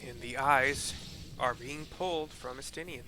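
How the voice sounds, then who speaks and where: A man streaming a game, describing the scenes on screen in an informal, halting, descriptive play-by-play. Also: medium pitch. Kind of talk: casual play-by-play describing cutscene visuals. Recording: clear game noise behind voice.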